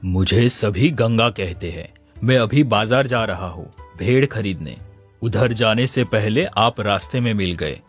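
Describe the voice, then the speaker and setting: A man playing a smooth-talking, falsely innocent sheep thief in an animated village story. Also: on the low side, a little slow. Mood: glib, friendly. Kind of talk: Hindi animated moral story dialogue. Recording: music under the voice.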